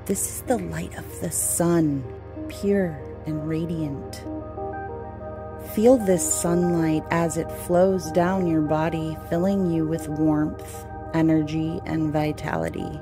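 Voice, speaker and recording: A low-voiced woman leads a guided meditation at a very unhurried pace. She speaks in short, soothing, deliberate phrases and leaves long pauses between them. Clearly audible music plays behind her voice.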